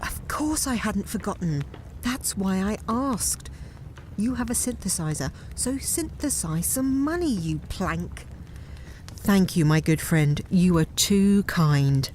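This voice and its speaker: A woman's voice: mid-range voice, very animated, slow pace, a little mellow, a little hiss or hum, low-quality recording. A woman playing a confident, wry space captain in an audio drama, polite but given to dry scolding. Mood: exasperated. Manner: polite to others, dryly scolding.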